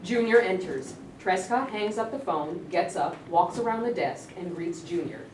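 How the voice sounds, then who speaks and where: A woman narrates stage directions in a matter-of-fact way. Her voice sits a little low. It sounds distant and echoey, with faint room noise. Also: lively intonation, normal speed, darkish tone. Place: indoor room at a live staged reading.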